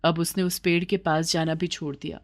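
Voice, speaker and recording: A woman's voice: lowish voice, flat delivery, brisk. A woman narrating a scripted children's story as the storyteller.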